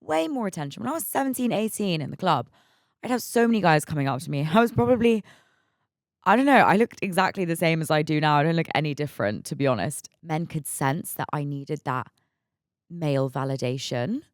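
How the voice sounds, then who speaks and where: A young woman in her mid-twenties hosting a podcast in a raspy, hungover voice that sits very low and crackles with vocal fry. She chats candidly and laughs easily. The sound is dry and close, with no room echo. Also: animated, fairly quick. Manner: chatty and candid, laughs often, repeats words. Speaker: podcast host. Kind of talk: casual solo podcast chat.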